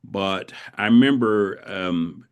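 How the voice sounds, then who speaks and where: A mature man speaks in a deep, low register as an interview guest. He answers in a conversational, reflective way, telling things as anecdotes and often doubling back on his words. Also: slow, smooth. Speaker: author guest.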